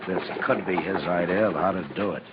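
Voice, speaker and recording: An actor playing a steady, authoritative frontier marshal in a 1950s radio Western, speaking in a terse, firm way. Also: male voice, sits low, a little fast, natural voice. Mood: calm, wary. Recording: muffled, hissy 1950s radio recording.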